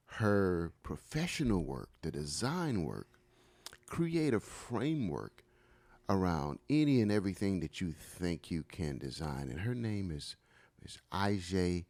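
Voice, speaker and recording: A man's voice: low voice, darkish tone, no echo. A man co-hosting a podcast, talking conversationally as he introduces and questions a guest. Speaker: podcast co-host.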